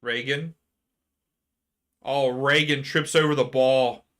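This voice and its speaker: A man hosting a live soccer watchalong stream, chatting casually with viewers and ranting about fouls. His voice is going hoarse. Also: medium pitch, steady tone, slow pace, a bit bright. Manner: chatty, reads chat aloud, rants about fouls. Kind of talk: casual live match commentary.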